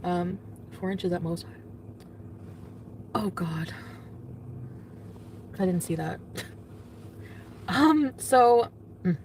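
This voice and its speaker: A woman's voice: lowish voice, expressive, extremely slow, pauses often, darkish tone. A woman vlogging and chatting with her viewers, rambling with lots of fillers and frequent laughter. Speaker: vlogger in the clip being reacted to. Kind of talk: casual vlog and live-stream chat. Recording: distant, noisy clip audio.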